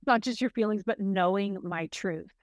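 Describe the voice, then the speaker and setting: A woman co-hosting a casual podcast chat, speaking reflectively and with passion, often backing her partner with quick agreement. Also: mid-range voice, no room sound, studio quality. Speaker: podcast co-host.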